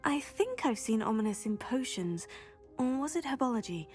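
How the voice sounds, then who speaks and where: A high-voiced, composed young witch. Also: big pitch swings, moderate pace, faint music behind. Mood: curious. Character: polite, bold, curious teenage girl witch student. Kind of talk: video game dialogue acting.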